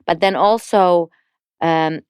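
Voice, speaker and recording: A woman's voice: lowish voice, fairly even intonation, slow, darkish tone, smooth, no room sound, studio quality. A woman talking as a podcast guest, fluent and explanatory, with light laughs. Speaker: podcast guest, entrepreneurship network representative. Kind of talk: casual podcast conversation.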